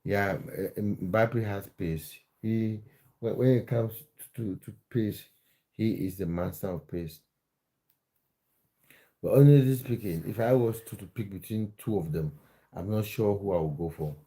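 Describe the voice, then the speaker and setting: Streamer host, male, from Cameroon; fairly low, warm voice, relaxed chat with frequent restarts. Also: unhurried, poor audio. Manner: chatty, restarts words, reads viewer comments aloud. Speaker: football livestream host. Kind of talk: casual live chat with viewers.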